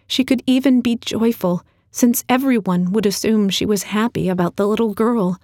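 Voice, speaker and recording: A woman's voice: medium pitch, moderate pace, darkish tone, no echo, studio quality. A woman reading a short story as a scripted fiction narration.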